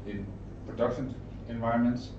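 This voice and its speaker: A middle-aged man from Denmark with a Danish accent, giving an informal conference presentation in short, halting, explanatory phrases. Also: mid-range voice, steady tone. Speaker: trainer and consultant giving a presentation. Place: indoor event room with an audience. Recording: roomy, distant mic, narrow muffled sound, noisy.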